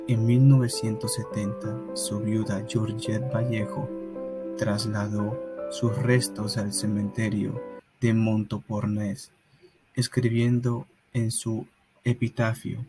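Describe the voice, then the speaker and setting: A man reads literary narration slowly and solemnly for a student radio drama. His voice sits a little low and moves little in pitch. Guitar music is clearly heard behind him.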